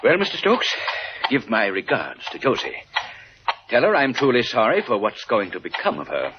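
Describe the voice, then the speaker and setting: A man's voice: medium pitch, big pitch swings, normal speed, natural voice. Stiffly dignified colonel on noisy old radio played by a man. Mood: curt, indignant. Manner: formal, curt, stiffly dignified. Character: proud wealthy male colonel, family man, coldly indignant. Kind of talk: 1950s radio drama acting. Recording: muffled old radio broadcast, loud noise.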